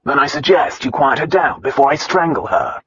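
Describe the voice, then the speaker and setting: A man's voice: a little high, normal speed, fairly bright tone, breathy edge. A man voicing an impatient nobleman who barks orders and drawls sardonically in a storybook reading. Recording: slightly muffled dry recording.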